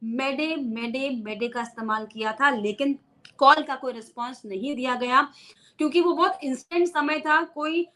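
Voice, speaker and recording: A woman's voice: sits high, normal speed, bright, forceful tone. A woman news presenter giving an unscripted explainer to viewers, repeating key phrases for emphasis.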